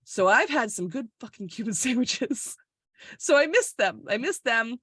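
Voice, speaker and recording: A woman's voice: on the high side, sing-song, normal speed, fairly bright tone, slightly rough, no room sound. A woman from Florida co-hosting a podcast, chatting casually and bluntly over a video call, swearing freely and laughing often. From Florida, USA. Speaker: podcast co-host. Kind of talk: casual chat. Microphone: recorded over a video call.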